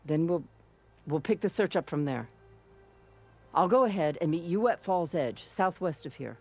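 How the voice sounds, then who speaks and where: Old grandma chaplain of a warrior tribe, solemn n measured, video game drama, faint music under it. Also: female voice, fairly low pitch, lively intonation, darkish tone, slightly rough. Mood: steady, purposeful. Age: older. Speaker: game character actor. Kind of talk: dramatic game cutscene acting. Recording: music behind the voice.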